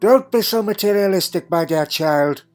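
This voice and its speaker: A man's voice: high-pitched, animated, normal speed, a little mellow, faint music behind. A man playing a crotchety, dry-witted elderly time traveller in a fan audio drama, fussy and full of questioning hums. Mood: tetchy, musing. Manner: fussy, punctuated with questioning hmms.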